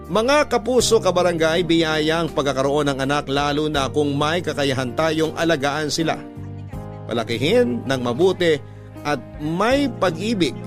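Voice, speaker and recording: A man narrating as a radio host, reading scripted love stories and letters in a dramatic storytelling style. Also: fairly high pitch. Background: background music.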